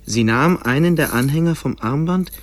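A man's voice: on the high side, moderate pace. A man narrating a scripted fantasy radio play as its storyteller. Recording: clear background ambience noise.

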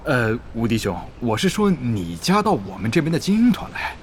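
A man's voice: medium pitch, huge pitch swings, normal speed, a little hiss or hum. A man playing an earnest, harried guild leader in a Chinese audio drama, polite and often flustered. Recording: music behind the voice.

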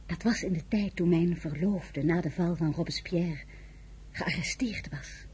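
A brave young Frenchwoman in a radio drama, spoken with a Dutch accent. Her voice is extremely breathy and husky, earnest and anxious yet stubborn. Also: fairly low pitch, measured pace, darkish tone. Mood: modest, earnest. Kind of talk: radio play acting.

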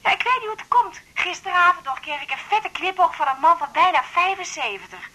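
High-voiced, flustered middle-aged mother. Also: animated, fairly quick, pushed and bright, heavily acted. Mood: hesitant, guilty. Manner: hesitant, chatty, flustered. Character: flustered old-fashioned mother, bashful and guilt-ridden. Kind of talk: 1980s Dutch radio drama acting.

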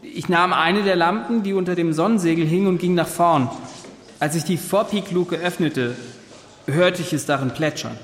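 An earnest young ship's officer, played by a man testifies in a high voice with careful precision. His measured radio-play account rings through a very echoey hall with long reverb. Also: close to an everyday voice. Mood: earnest, factual.

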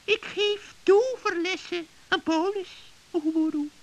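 A very high, heavily creaking old witch for a children's radio play. The witch is sly and theatrical, drawing out words like a bossy but encouraging magic teacher. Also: low-quality recording. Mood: coy, feigned innocence. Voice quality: creaky, shrill cackle. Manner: theatrical, drawn-out, instructive.

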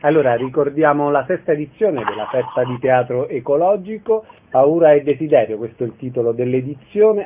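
A man's voice: mid-range voice, phone-quality sound, a little hiss or hum. A man hosting a radio show, giving formal announcements and putting short questions to his guests. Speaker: radio host, interviewer. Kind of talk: scripted announcements and interview questions.

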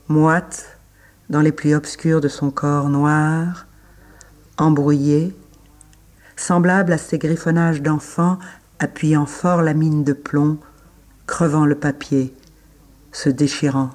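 A man giving a scripted literary reading for an audio drama, measured, pausing between short phrases. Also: on the high side, level tone, darkish tone. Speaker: literary reader.

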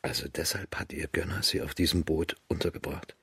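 A tough imperial guard colonel in a radio drama. His voice is extremely deep and very raspy, with a rough edge. He talks tersely and plainly, like a soldier. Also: fairly even intonation, fairly quick, a bit bright, no echo, clean studio sound, heavily acted. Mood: defensive.

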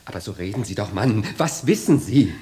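A man's voice: fairly low pitch, animated, a little fast, warm tone, a little hiss or hum, close to an everyday voice. An actor playing an imperious, indignant father of a runaway boy in a German radio drama. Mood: urgent demanding. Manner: imperious, demanding.

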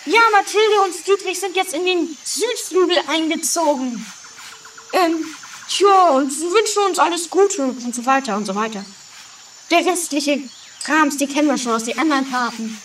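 Female performer as curious, chatty, flighty lady, very high piping voice, old amateur radio play with faint background noise. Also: normal speed, a bit bright. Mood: chatty, nosy. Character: gushing, flighty, curious, easily unsettled lady. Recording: distant, faintly noisy amateur 1980s recording.

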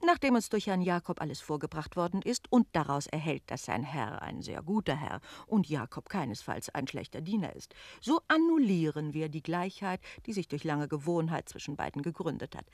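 An actress playing a bossy, talkative innkeeper's wife who takes charge, in a German radio play. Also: female voice, sits low, big pitch swings, moderate pace, few pauses, no echo, heavily acted. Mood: mock-solemn.

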